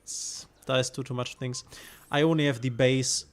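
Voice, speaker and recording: A man's voice: medium pitch, fairly even intonation, normal speed. A man from Germany with a German accent, casually commenting on his card game while streaming and thinking aloud with hesitations.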